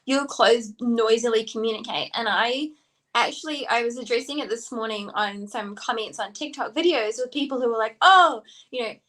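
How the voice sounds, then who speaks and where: A woman with a New Zealand accent talks with bright enthusiasm, peppering her speech with "like." Her voice sits a little high, and she sounds like a podcast co-host in her thirties in casual conversation. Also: normal speed. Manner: energetic, enthusiastic, lots of "like" fillers. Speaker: stylist and co-host.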